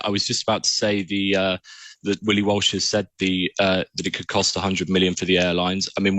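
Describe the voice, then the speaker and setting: Low-voiced man, dry sound. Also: a bit bright, no room sound, poor audio. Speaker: aviation journalist, news guest. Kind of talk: live news interview commentary.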